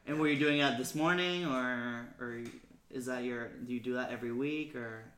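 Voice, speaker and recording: A man's voice: mid-range voice, normal speed, fairly bright tone, slight background noise. A man from Puerto Rico in a casual podcast chat, curious and agreeable, asking lots of questions. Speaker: podcast co-host. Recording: roomy echo.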